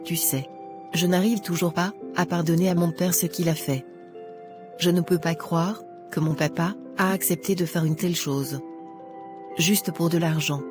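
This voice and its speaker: A woman's voice: on the low side, steady tone, normal speed, music in the background, close to an everyday voice. A woman playing a devout character in her thirties in a cartoon drama, speaking earnestly, like a kindly advisor. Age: 30s. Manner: earnest, counselling.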